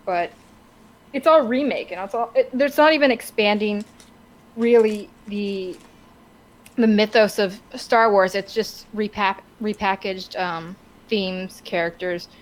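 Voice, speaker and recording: A woman co-hosting a podcast in casual, opinionated discussion, halting and stammering as she searches for words. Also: medium pitch, poor audio. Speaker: podcast co-host. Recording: clear background noise.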